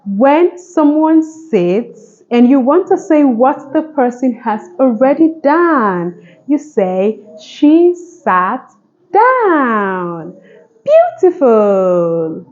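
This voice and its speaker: A woman's voice: high-pitched, very animated, drawn-out words, darkish tone, lo-fi sound. A woman hosting a phonics lesson for young children, speaking in an encouraging, sing-song manner with simple repeated instructions. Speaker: phonics teacher and channel host.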